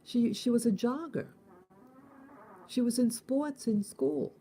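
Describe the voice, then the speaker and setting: A woman in her fifties from the United States, hosting a casual morning reflection, thinking aloud with halting restarts and repeated words. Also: a little high, dark tone, breathy edge. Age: 50s. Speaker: host.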